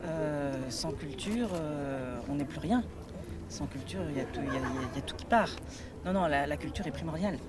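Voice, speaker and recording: A woman's voice: low voice, lively intonation, fairly quick, over a noisy crowd. A young woman born in Lebanon, a storyteller, chatting in an interview with many fillers. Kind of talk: interview answers. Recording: noisy outdoor recording.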